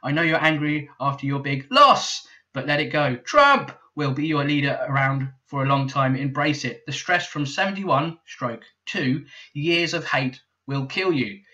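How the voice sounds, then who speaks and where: A British man voicing a ranting, angry male internet commenter, loud and indignant. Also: a little high, unhurried, hardly any pauses, lo-fi sound. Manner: shouty, indignant, escalating.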